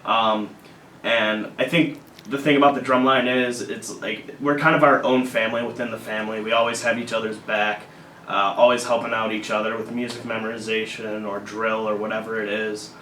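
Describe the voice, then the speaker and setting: A young man in his early twenties from Illinois, answering interview questions in a measured, steady way. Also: on the low side, echoey room, far from the mic, slight background noise, rough recording. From Illinois, USA. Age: early 20s. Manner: measured, steady, some fillers. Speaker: student guest.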